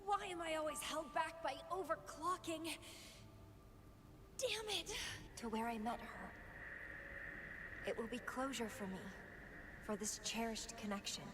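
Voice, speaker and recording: An actress voicing an intense, lovelorn and unhinged young woman fighter in a slow, theatrical video game monologue. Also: high voice, background noise. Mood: strained, bitter. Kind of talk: dramatic video game voice acting. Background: dramatic game music.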